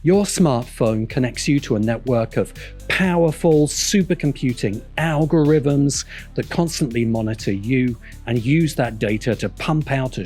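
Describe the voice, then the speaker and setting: A man's voice: mid-range voice, darkish tone, slightly rough. A man narrating a scripted video essay with wry, critical commentary.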